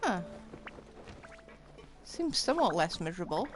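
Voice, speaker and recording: A woman's voice: fairly low pitch, big pitch swings, unhurried, music in the background. A woman streaming a game, giving casual, wry and playful reaction commentary in asides.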